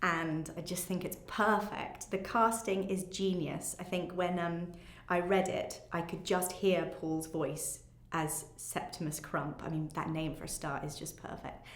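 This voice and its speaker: Female, young adult, English accent, slightly low voice, enthusiastic interviewee, roomy sound. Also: steady tone, unhurried. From England. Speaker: actress. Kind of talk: casual interview talk.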